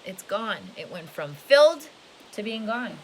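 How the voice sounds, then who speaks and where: A woman with a medium-pitched voice reads a children's picture book aloud. She is expressive, draws out key words and drops in casual asides. A light hiss sits in the recording. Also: measured pace, low-quality recording. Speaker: storybook reader. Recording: hissy home recording with background noise.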